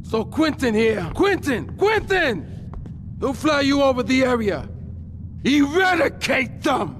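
Ranting drug boss, very high voice, swooping delivery, theatrical, short-tempered, game cutscene. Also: male voice, sing-song, slow, a bit bright, big-room sound, a little hiss or hum, heavily acted. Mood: irate. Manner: explosive, barking, theatrical. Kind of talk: video game cutscene acting.

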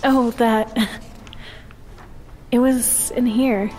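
A woman's voice: a little high, fairly even intonation, drawn-out words, over music. An actress playing a thoughtful, sincere young female leader of a keep in a fantasy audio drama, hesitant and wistful. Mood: calm.

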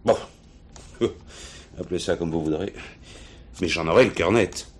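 Low-voiced, gruff police inspector. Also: male voice, moderate pace. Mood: assured, insistent. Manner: gruff, familiar, slangy. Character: blunt, dogged French police inspector, man. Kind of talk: French radio play acting.

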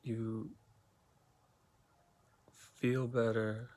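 A man leading a guided meditation, giving slow, soothing instructions with long pauses between phrases. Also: mid-range voice, little pitch movement, darkish tone.

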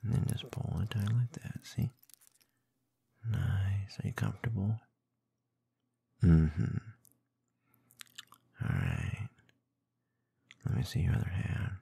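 A man doing intimate ASMR roleplay into a close binaural microphone, murmuring in a husky, breathy, often whispered voice with a slow, teasing, dominant manner. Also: deep voice, wildly swooping pitch, mellow. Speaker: ASMR audio performer.

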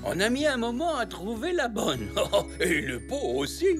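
A man's voice: sits high, moderate pace, over music. A man voicing a kindly, courteous old grandfather zebra in a French children's cartoon. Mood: cheerful, helpful. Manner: animated, courteous, expressive.

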